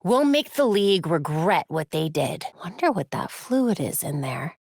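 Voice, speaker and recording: Wry young American vigilante heroine. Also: female voice, on the low side, very animated, unhurried, creaky, darkish tone, no room sound, studio quality, exaggerated voice. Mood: wry, focused. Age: young adult. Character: young woman vigilante hero, brainy, determined, wryly witty. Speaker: video game voice actor. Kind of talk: video game character voice lines.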